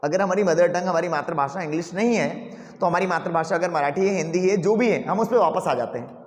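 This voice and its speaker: High-voiced man lecturing in echoey classroom. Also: brisk. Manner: asks the class rhetorical questions. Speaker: English teacher.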